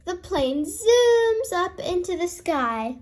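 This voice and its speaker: Female children's read-aloud host: very high voice, highly melodic, expressive storybook delivery. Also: slow pace.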